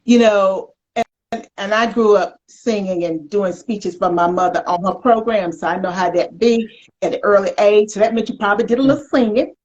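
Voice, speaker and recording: An American woman hosting a conversational interview in an animated, folksy, affirming way. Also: mid-range voice, normal speed. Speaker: talk show host. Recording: dull lo-fi web call audio.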